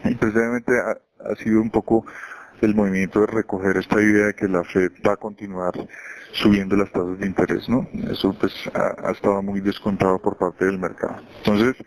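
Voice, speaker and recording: A man with a Colombian accent giving financial commentary as an investment executive, in a measured explanatory way with frequent self-corrections. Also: lowish voice, level tone, dry recording, poor audio. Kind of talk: market commentary on a conference call.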